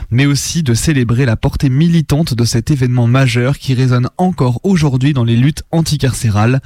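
A male radio host with a French accent from France delivers scripted editorials in a sardonic, committed way. His voice sits at a middling pitch, recorded very dry and close in a studio. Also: darkish tone, no echo.

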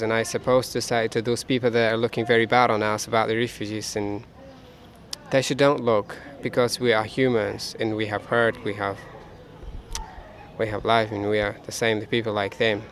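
A man's voice: fairly low pitch, flat delivery, fairly bright tone. A man with an Afghan accent and Dari as his first language, speaking casually as a refugee and interpreter, repeating words for emphasis. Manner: repeats words for emphasis, halting non-native English phrasing. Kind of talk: casual spoken testimony and interpreting.

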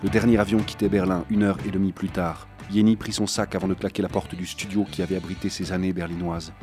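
A man's voice: deep voice, little pitch movement, fast talker, over music. A man reading a literary thriller as scripted narration. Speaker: audiobook narrator.

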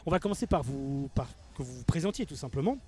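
A man's voice: mid-range voice, animated, fast talker, warm tone. A man hosting a casual radio interview, chatty, laughing easily and tripping over his words. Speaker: radio host.

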